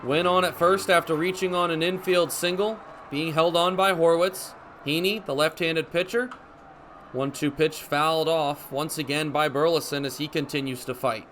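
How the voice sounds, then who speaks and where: A young man calling baseball play-by-play for radio in crisp, descriptive phrases, as live sports commentary. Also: fairly high pitch, moderate pace, slight background noise. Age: young adult. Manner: crisp, descriptive phrases with excited calls on big plays. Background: ballpark ambience.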